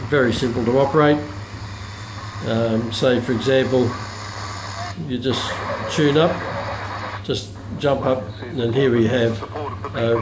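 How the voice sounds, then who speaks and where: A man giving an informal, unscripted product demonstration as a hobbyist reviewer, often pausing mid-sentence as he explains features. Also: medium pitch. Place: indoor room with hard countertop. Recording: roomy echo, clear background noise.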